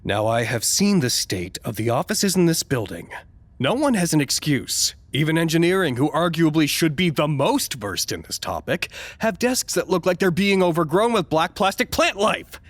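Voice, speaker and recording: An actor playing a fussy, self-important, easily wounded doctor in an audio drama, with a pompous, theatrical delivery. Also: male voice, on the high side, sing-song, normal speed, no echo. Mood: indignant. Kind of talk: dramatic monologue, audio log.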